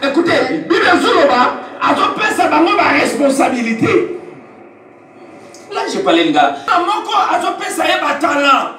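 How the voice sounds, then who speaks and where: A man from Congo preaching a sermon in Congolese-accented French, shouting and calling for responses from the congregation. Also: very high-pitched, very animated, unhurried, noisy background. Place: church hall. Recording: echoey hall from far off with music.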